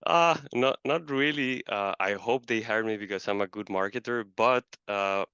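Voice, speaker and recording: Deep-voiced man from Colombia, hesitant. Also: moderate pace, sharp tone, clear, resonant tone, no room sound, lo-fi sound. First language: Spanish. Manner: hesitant, many fillers and restarts. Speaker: guest, marketing manager. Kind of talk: casual interview answers.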